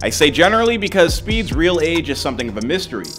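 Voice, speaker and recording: A man reading scripted documentary narration in a brisk way, with wry asides. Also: a little high, very animated. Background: music bed.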